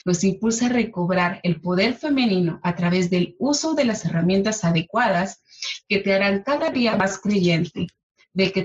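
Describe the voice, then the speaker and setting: Woman podcast host, effusive and emphatic, mid pitch, slightly dull sound. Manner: warm, effusive, emphatic praise. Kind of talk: podcast interview, scripted intro.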